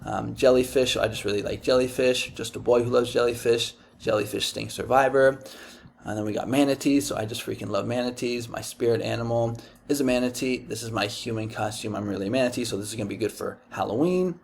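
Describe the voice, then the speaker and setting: A man's voice: medium pitch, steady tone, fairly quick, creaky. A man with a Dominican accent hosting a casual screen-share tutorial, chatty and rambling with many ums and restarts. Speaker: YouTube host teaching print-on-demand design.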